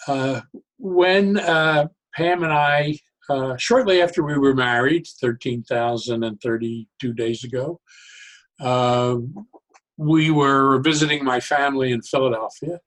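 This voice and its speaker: An older man speaking as an interview guest, telling stories conversationally in a reflective, halting way with pauses mid-phrase. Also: mid-range voice, fairly even intonation, a bit bright, poor audio. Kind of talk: conversational storytelling.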